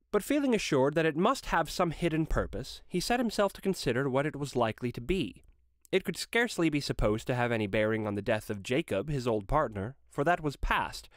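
A man's voice: mid-range voice, dry recording, studio quality. A man reading a classic story aloud as narrator, in a dramatic storytelling style.

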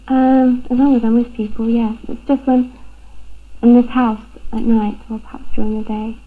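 A woman's voice: a little high, flat delivery, moderate pace. Hesitant young woman, tinny old film sound. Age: young adult. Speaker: interviewee, burglary victim. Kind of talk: personal account in a documentary interview. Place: room at home, indoors.

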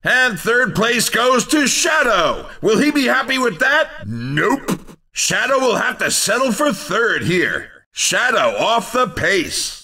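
A man voicing an excitable, showy race commentator in a video game, with theatrical, emphatic exclamations. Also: very high voice, takes it slowly, far from the mic, exaggerated voice. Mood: upbeat. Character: excitable male arcade racing game announcer, showy and quick to tease.

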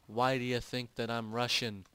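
A young man casually commentating on a shooter game, joking and getting loud when excited. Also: medium pitch, moderate pace, clear, resonant tone. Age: young adult. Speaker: gaming channel host. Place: home, recorded late at night.

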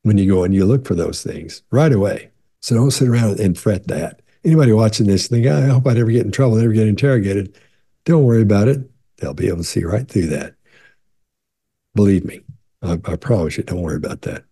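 A gravelly man in his sixties talks straight to his webcam from a home office, loose and conversational, circling back to reassure the viewer. His voice sits low and very dark, with a husky edge. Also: animated, a little fast. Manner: conversational, repeats and restarts, leans into reassurance. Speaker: body language expert. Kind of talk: casual Q&A talk to camera.

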